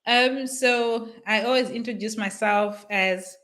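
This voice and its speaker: A woman, a parenting coach speaking as a guest on a live online interview over a video call, enthusiastic and quick to laugh. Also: a little high, steady tone. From Zimbabwe. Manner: warm and enthusiastic, laughs easily.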